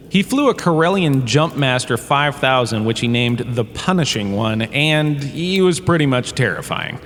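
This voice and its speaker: A man's voice: mid-range voice, fairly bright tone, close to an everyday voice. Casting: male actor as washed-up drama star turned lecturer, wry conversational storyteller for comedy audio drama; long hall reverb, faint noise behind. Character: washed-up holodrama star lecturing on bounty hunters, chatty, exasperated. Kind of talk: comedic scripted lecture narration.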